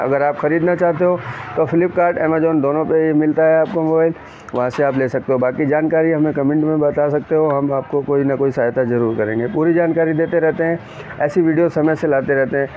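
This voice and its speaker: A man with a slightly high voice presents a product in a casual, unscripted way. He speaks straight to his viewers and repeats phrases as he goes. The sound is a bit dull and lo-fi, with some background noise. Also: level tone, fairly quick. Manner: repetitive, addresses viewers directly. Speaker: tech reviewer hosting an unboxing video. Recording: dull lo-fi audio, clear ambient noise.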